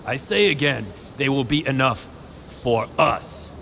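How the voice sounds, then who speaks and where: An actor playing a cautious, stubborn townsman in an audio drama, speaking with emphatic oratory and a gravelly voice. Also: mid-range voice, animated, a little slow, pushed and bright, a little hiss or hum, exaggerated voice. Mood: insistent, grim. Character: cautious, stubborn townsman urging appeasement and survival.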